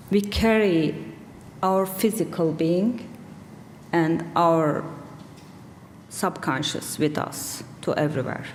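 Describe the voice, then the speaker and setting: A woman in her forties with a Turkish accent, her first language Kurdish, speaking English with unhurried care. Her voice sits a little low and rings out in a roomy hall, with a faint room hum behind her. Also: darkish tone. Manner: slow, thoughtful, searching for words. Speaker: poet on a festival panel. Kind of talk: panel discussion answer. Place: large hall with audience. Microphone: table microphone.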